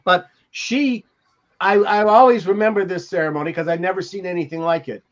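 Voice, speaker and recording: An older American man on a podcast, telling anecdotes and reading from a memoir in a halting, reflective way. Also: high voice, steady tone. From Beverly Hills, California. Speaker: podcast co-host. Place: indoors at home, on a video call. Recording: dull lo-fi video call audio.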